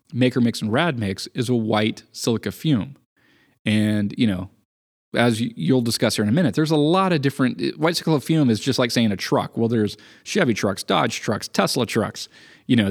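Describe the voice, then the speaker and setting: A man co-hosting a podcast in casual chat, a bit raspy from a bug, explaining things at length with everyday comparisons. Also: medium pitch. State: sick with a cough. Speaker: podcast co-host.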